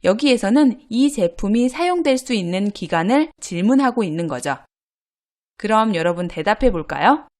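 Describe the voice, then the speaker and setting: A woman teaching a grammar lesson, explaining example dialogues clearly and patiently. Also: medium pitch, expressive, normal speed, darkish tone. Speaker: Korean language teacher.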